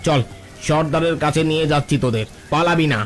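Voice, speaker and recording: A cartoon bandit guarding forest territory , acted by a man. His voice sits a little high for a man. He is suspicious and commanding, with a gruff, threatening way of talking. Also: quick pace, soft background music, close to an everyday voice. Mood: suspicious, stern. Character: menacing forest bandit, suspicious underling of the chief. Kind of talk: cartoon voice acting.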